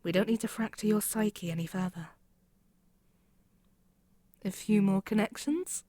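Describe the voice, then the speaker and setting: A woman voicing a proud, mocking demon queen in an intimate ASMR roleplay, speaking in a haughty, deliberate, musing way. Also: mid-range voice, a little mellow, no echo, lightly acted. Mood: wistful, brooding. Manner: haughty, deliberate, musing, with pauses and murmured asides. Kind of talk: scripted ASMR audio roleplay, spoken intimately to the listener.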